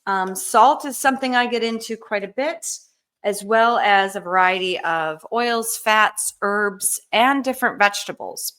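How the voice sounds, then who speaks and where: A woman hosting a live canning Q&A, chatty and enthusiastic, with frequent ums and a slightly hoarse voice. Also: mid-range voice, pushed and bright. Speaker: canning cookbook author hosting a live show. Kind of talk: casual live Q&A cooking demo.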